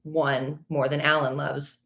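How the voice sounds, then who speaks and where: A woman's voice: sits low, flat delivery, unhurried, a bit bright. A middle-aged woman, co-hosting a podcast live stream and chatting casually about plans and her dogs. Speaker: podcast co-host.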